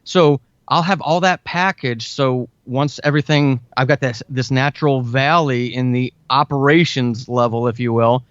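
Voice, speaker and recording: A man chats enthusiastically and earnestly as a guest writer in an interview. His pitch is average, and the sound is dry and somewhat dull, with a limited lo-fi band. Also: normal speed, hardly any pauses, clean tone, no echo. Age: 40s. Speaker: guest, fiction and audio drama writer. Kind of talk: casual conversational interview.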